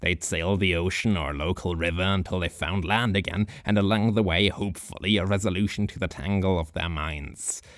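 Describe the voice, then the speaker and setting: A man voicing a rambling, drunk old hobo sage who muses philosophically and slowly, with dry chuckles. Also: low voice, steady tone, a bit bright, dry recording. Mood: musing. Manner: slow, musing philosophical monologue with chuckles.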